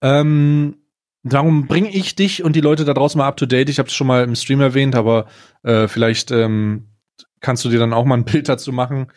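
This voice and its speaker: A man hosting a casual comedic podcast, talking in a halting, informal way with bits of English slang. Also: medium pitch, steady tone, a little mellow, dry recording, studio quality. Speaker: podcast host.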